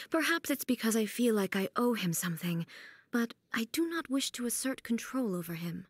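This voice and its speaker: A woman voicing a solemn, reserved ruler goddess in a video game, soft and breathy with formal, measured phrasing. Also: a little high, expressive, dry recording. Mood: solemn, regretful. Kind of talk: video game character voice line.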